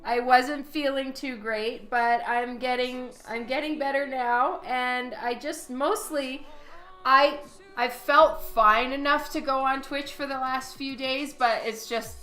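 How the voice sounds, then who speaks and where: A woman with a head cold talks slowly over quiet background music as she paints. Her voice is hoarse and half gone, yet it sits fairly high and bright. She keeps thanking her viewers and breaks into frequent laughs. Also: steady tone, low-quality recording. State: hoarse from a cold, voice partly lost. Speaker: digital comic artist streaming. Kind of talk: casual livestream commentary while painting.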